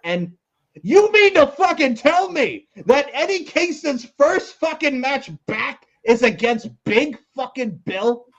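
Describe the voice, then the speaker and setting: A man with a New York accent rants on a podcast, his pitch swinging up and down as he swears and mocks. His voice sits fairly high for a man. The sound is a little dull and lo-fi. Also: slow. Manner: loud, animated, swearing, mocking impressions. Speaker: podcast co-host. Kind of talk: profane wrestling podcast rant.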